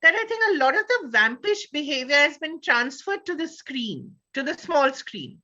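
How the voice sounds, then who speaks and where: A woman with an Indian accent speaking as a panelist in an animated discussion, peppering her talk with "you know". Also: high-pitched, moderate pace, highs cut off.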